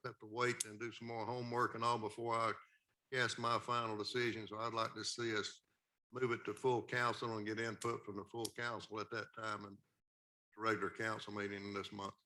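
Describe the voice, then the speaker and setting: Flat-voiced bright council member, male. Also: on the low side, poor audio. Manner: deliberate, flat delivery. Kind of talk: meeting discussion.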